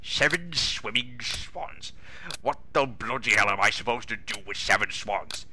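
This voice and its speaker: Man playing a pompous, blustering British boss, forceful and deep. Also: animated, measured pace, husky, heavily acted. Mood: indignant. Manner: blustering, grumbling, indignant. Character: pompous, exasperated older British railway boss. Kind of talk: comic dramatic reading.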